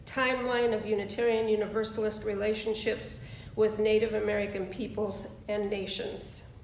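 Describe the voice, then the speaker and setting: A woman minister reading and preaching at a church service in a measured, deliberate way. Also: medium pitch, level tone, a little hiss or hum. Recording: roomy, echoing voice.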